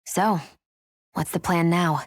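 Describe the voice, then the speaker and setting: Low, cool, blunt fighter. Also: female voice, fairly even intonation, dry recording. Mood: cool, probing. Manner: dry, brisk, pointed. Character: cool, blunt, sceptical young female fighter. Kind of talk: dramatic video game voice acting.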